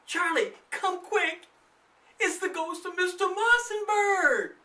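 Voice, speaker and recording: A man's voice: very high-pitched, animated, slow pace, reverberant, far from the mic, pitched above the performer's own voice, heavily acted. A man voicing a frightened, anxious young girl in a spooky children's ghost story. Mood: panicked. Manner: hushed, shuddering, anxious.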